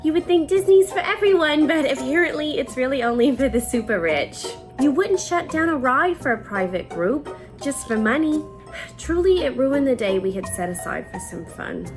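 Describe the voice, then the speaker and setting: Woman reading a bad review dramatically, really high voice, music behind. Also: lively intonation, moderate pace. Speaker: guest reader of online reviews.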